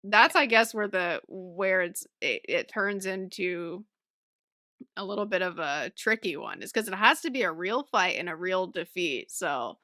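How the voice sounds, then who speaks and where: A woman's voice: on the low side, lively intonation, a little slow, bright, forceful tone, no room sound, clean studio sound. A woman co-hosting a podcast in a casual, chatty way, often laughing through her words. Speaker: podcast co-host. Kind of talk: casual book-club chat.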